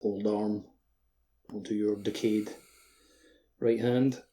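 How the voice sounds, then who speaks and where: A man's voice: fairly low pitch, little pitch movement, takes it slowly, dark tone, poor audio. A man co-hosting a podcast, chatting casually about a game in loose sentences peppered with "like". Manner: informal, frequent use of "like". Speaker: podcast co-host. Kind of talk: casual video game discussion.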